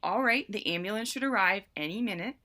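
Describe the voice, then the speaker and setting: A woman playing a calm, procedural emergency dispatcher on a call in an audio drama, giving instructions in a businesslike way. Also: medium pitch, brisk, close to an everyday voice.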